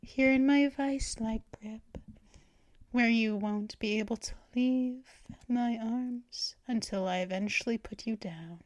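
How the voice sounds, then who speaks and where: A woman voicing a possessive, teasing female vampire mistress in an ASMR roleplay, speaking in soft, purring whispers. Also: on the high side, unhurried, no room sound, lightly acted.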